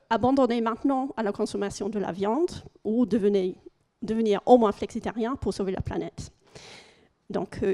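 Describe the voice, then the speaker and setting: A woman's voice: mid-range voice, warm tone. Woman with an English-speaking accent presenting. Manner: hesitant, frequent fillers and restarts. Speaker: conference speaker. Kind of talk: conference presentation with slides.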